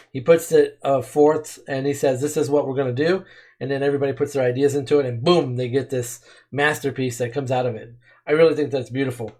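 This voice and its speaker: A man's voice: mid-range voice, a little mellow. A man hosting a reaction video, talking casually and with enthusiasm, repeating words for emphasis. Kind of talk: casual commentary.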